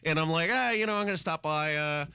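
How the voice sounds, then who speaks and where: A man's voice: a little high, fast, bright, forceful tone, smooth, no room sound, clean studio sound. A male radio talk show host with a slight cold, telling a story in an exasperated, sarcastic way with dramatic asides. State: fighting a cold, a little scratchy. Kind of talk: casual on-air storytelling. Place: radio studio.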